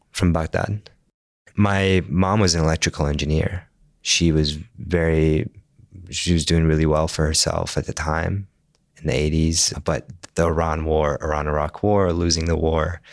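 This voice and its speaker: A man's voice: very deep voice, little pitch movement, unhurried, vocal fry, no room sound, studio quality. A young man born in Jordan who grew up speaking Arabic, a Spanish teacher answering interview questions thoughtfully and restarting his words often.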